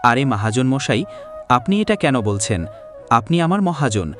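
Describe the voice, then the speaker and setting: A man voicing a humble, flattering poor farm labourer in a cartoon, speaking in a deferential, pleading way. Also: mid-range voice, unhurried, soft background music. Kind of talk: cartoon dialogue acting.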